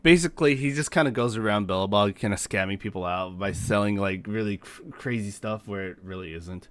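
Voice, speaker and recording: A man's voice: on the low side, brisk, fairly bright tone. A man giving casual, informal explanations in conversation as a host. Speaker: host explaining game characters. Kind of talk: casual chat commentary.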